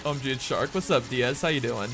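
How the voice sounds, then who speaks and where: A young man with a drawl keeps his voice low and soothing as he plays a game late at night. He speaks at an ordinary middle pitch into a desk mic at home. Game music plays clearly behind him. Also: fast talker. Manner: soft, calm, hushed talk. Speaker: streamer. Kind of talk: quiet casual gameplay chat.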